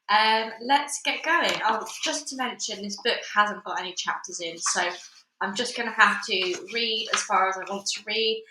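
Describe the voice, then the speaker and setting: Woman storybook reader, bright and fairly high, expressive and chatty, echoey room. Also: slight background noise. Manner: chatty and expressive, with fillers in asides. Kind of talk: reading a children's book aloud.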